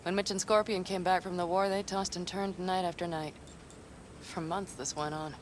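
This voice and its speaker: A blunt, self-assured nomad woman, played by an actress, laying out her plans in a dry, matter-of-fact way. Her voice sits a little low, with faint noise under the game dialogue. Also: fairly even intonation, normal speed, lightly acted. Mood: calm, assured. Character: older female desert nomad planner, blunt and dry. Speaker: game character.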